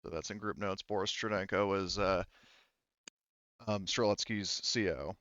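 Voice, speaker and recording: Man, game master, lowish smooth voice, halting casual explanations, slightly dull recording. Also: fairly even intonation, a bit bright, no echo. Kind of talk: casual tabletop roleplay game narration.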